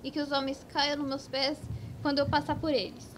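A woman's voice: high-pitched, flat delivery, brisk, slight background noise, natural voice. A woman playing a mournful, whiny old granny with a Brazilian accent in a cartoon comedy. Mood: whiny, eager. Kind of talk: cartoon comedy sketch acting.

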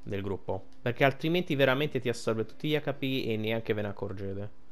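A man's voice: medium pitch, fairly quick, slight background noise. An Italian man giving casual gameplay commentary, rambling and thinking aloud as he plays. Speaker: gaming streamer.

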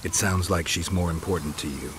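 An actor playing a terse, skeptical monster-hunting swordsman in a video game, gravelly and dry, speaking in clipped phrases. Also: sits low, brisk, fairly bright tone, slight background noise. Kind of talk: game dialogue acting.

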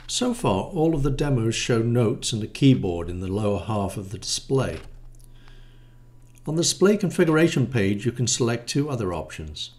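A man's voice: mid-range voice, big pitch swings, normal speed, a little mellow. Male, 60s, technical presenter, hesitant explanatory delivery, echoey small-room sound. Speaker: module designer presenting a demo. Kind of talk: explanatory product demo, semi-improvised. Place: small home studio room, indoors. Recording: roomy, echoey home room.

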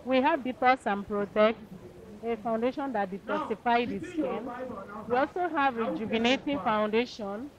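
Nigerian woman pitching products, dull crowded recording. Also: normal speed. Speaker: cosmetics brand ambassador. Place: outdoor market stall under tent. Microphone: handheld interview microphone. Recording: muffled, busy outdoor crowd noise.

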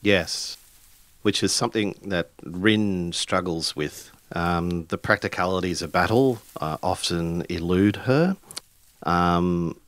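A man improvising dialogue and narration in a tabletop roleplaying game, haltingly searching for words. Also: sits low, fairly bright tone, dry recording.

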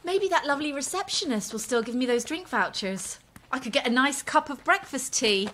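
Theatrical lady romance writer, bright high voice, prim, blissfully self-absorbed, audio drama. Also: lively intonation, fairly quick, slight background noise. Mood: breezy, excited. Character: theatrical, self-absorbed romance novelist woman, cheerfully oblivious.

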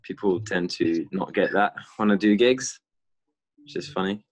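Young French guy, deep voice, casual hesitant talker, video call audio from a parked car. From France. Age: young adult. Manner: halting, many fillers and restarts, laughs easily. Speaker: guest musician. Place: inside a car.

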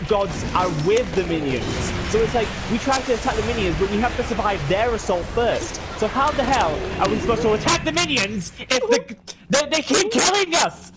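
A man with a Yorkshire accent ranting and swearing about a losing online game. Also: sits high. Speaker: gamer streaming with a friend. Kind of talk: casual gaming chat. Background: loud game music.

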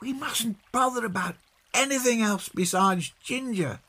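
A man plays a young storybook girl in a very high, swooping voice. She is bossy and resourceful, speaking slowly and surely as she gives instructions and takes the lead. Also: big pitch swings, fairly bright tone, exaggerated voice. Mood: earnest, bossy. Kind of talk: children's storybook reading.

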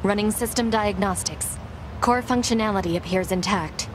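A woman's voice: medium pitch, moderate pace, noisy background, natural voice. A woman playing a stoic young female android soldier in a video game, clipped, formal and restrained. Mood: controlled, terse.

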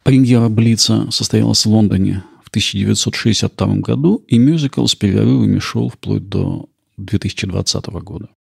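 A man's voice: fairly low pitch, brisk, vocal fry, few pauses, slightly rough, dry recording, clean studio sound. A man hosting a podcast with scripted documentary narration. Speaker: podcast host.

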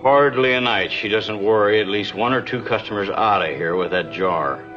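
An actor as a mild, hesitant young saloon man in a television western. His voice sits fairly low. The vintage soundtrack is dull. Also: moderate pace, music in the background, close to an everyday voice. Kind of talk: 1960s TV western acting.

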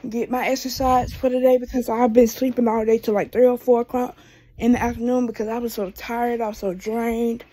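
A woman's voice: a little high, flat delivery, fairly quick, dark tone, no room sound. A woman of twenty-two with an American accent, chatting casually and rambling as a vlogger while she paces indoors, recorded on a handheld phone. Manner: rambling, talking while pacing on foot. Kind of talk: casual rambling vlog chat. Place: small room indoors at home. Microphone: phone at arm's length.

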